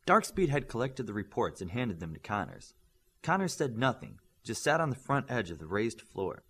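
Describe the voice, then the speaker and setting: A man reads fiction aloud as an audiobook narrator. His delivery is scripted storytelling, with a pitch that moves in an animated way from phrase to phrase. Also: normal speed, husky. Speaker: audiobook reader.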